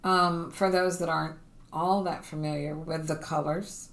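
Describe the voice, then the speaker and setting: Low-voiced woman host. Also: steady tone, measured pace. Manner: affirming, echoes the guest's words. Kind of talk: casual interview.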